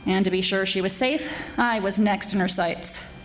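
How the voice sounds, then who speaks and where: A woman's voice: medium pitch, moderate pace, faint music behind, natural voice. A woman playing a tough, wisecracking 1940s private detective in a live radio-style drama. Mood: cool, hard-boiled. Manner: wisecracking, hard-boiled storytelling. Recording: live stage performance with background noise.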